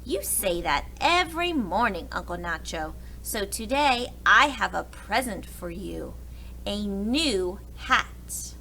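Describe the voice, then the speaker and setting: Woman reading as a bright, cheerful schoolgirl niece. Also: mid-range voice, big pitch swings, slow, creaky, a little hiss or hum, full character voice, low-quality recording. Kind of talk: storybook character voice.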